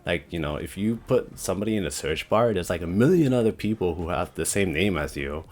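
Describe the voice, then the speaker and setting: A young man talking casually to the camera about starting a channel, with a deep, monotone voice, rambling with lots of "like" and "you know". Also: brisk. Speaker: YouTube creator. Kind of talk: casual talking-head vlog.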